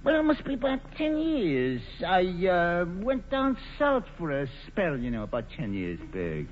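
A man's voice: sits high, huge pitch swings, unhurried, exaggerated voice. A cocky, swooping New wise guy. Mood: breezy, sly. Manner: slangy, cocky, wisecracking. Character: brash, flirtatious city wise guy with shady past. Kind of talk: 1940s radio play acting. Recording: muffled, hissy old radio broadcast.

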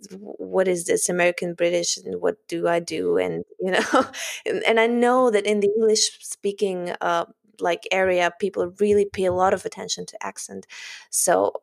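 A woman's voice: on the low side, little pitch movement, moderate pace, gravelly. A woman with a German accent, a novelist raised in a Russian-German family, talking in a casual podcast interview and laughing often. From Russian-German background, lives in Germany. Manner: thoughtful, laughs often, self-conscious. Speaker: author guest.

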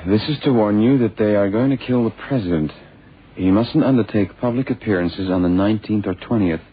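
Eager, lowish-voiced detective's sidekick. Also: male voice, fairly quick, slightly rough. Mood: keen, alarmed. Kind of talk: 1970s radio crime drama acting. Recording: muffled old radio recording, faint hiss.

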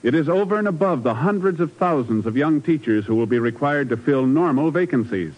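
A man's voice: fairly high pitch, animated, a little fast. A man delivering scripted announcements and narration as a 1950s radio announcer, formal and earnest. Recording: dull vintage radio recording.

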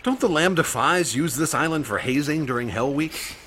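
An actor playing a worried, skeptical young student in a radio drama, with a questioning, hesitant manner. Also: male voice, on the high side, lively intonation, moderate pace, a bit bright, slight background noise. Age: young adult.

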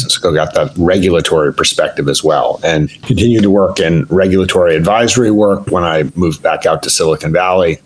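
A middle-aged man from the East Coast, a CEO telling his career story in a measured way in a podcast interview. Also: low-pitched. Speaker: guest, company CEO.

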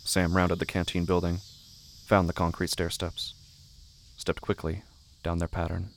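Male horror narrator, deep voice, lots of vocal fry, halting scripted delivery, long pauses, music underneath. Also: little pitch movement, slight background noise.

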